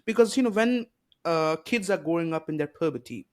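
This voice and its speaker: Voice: mid-range voice, animated, fairly quick. A podcast host speaking earnestly and with strong opinions, leaning on "you know" and rhetorical questions in a casual conversation.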